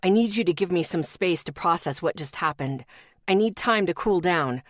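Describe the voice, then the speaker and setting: A hurt and withdrawn young man, voiced by a woman reading an audiobook, speaks low and deep in short, clipped sentences. His tone is cold and distant. The recording is dry and close, with no room sound. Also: expressive, normal speed, no echo. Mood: cold, curt.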